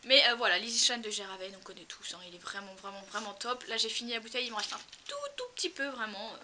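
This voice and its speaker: A woman's voice: medium pitch, quick pace, pushed and bright, slight background noise. A young woman with a cold and a slightly nasal, congested voice chats casually through a product review, repeating words for emphasis. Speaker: equestrian vlogger. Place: outdoors in a stable tack room, cold.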